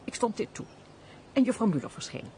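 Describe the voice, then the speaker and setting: A lively, worldly countess. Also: female voice, a little high, a little fast, a little hiss or hum. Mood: earnest, storytelling. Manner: formal, dryly teasing. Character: teasing, sensible countess, elder-sisterly and worldly. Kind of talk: Dutch radio play, period stage dialogue.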